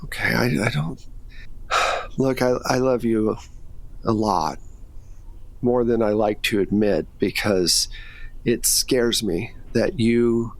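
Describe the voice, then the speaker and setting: Man playing an earnest, halting girlfriend in a tabletop game. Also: mid-range voice, creaky, low-quality recording. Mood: worried, tender. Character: flustered girlfriend Sonia, tender, worried, exasperated young woman.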